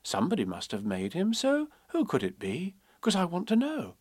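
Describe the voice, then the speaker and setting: A man's voice: sits high, wildly swooping pitch, moderate pace, a little mellow, no echo, clean studio sound, voice pushed up, full character voice. A man voicing an earnest, curious little girl in a children's verse, pleading and questioning. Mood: curious, insistent.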